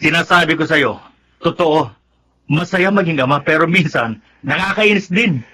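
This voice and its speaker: A worldly male friend with a bright, forceful voice, pitched a bit high. He banters and teases emphatically in radio drama style. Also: normal speed. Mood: teasing, knowing. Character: teasing, worldly male friend of a new father. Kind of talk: Tagalog radio drama acting.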